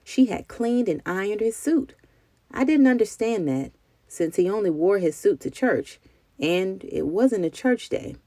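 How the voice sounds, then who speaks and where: A woman narrates a children's storybook with expressive, deliberate delivery. Her voice is relaxed and dark with a creaky edge, recorded dry and close. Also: mid-range voice, no room sound. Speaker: children's book reader.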